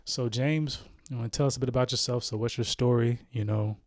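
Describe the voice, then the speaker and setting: A man's voice: mid-range voice, fairly even intonation, fairly quick, darkish tone, no room sound, clean studio sound. A man hosting a podcast interview, laid-back and chatty, with lots of fillers and tag questions. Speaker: podcast host. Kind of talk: casual interview chat.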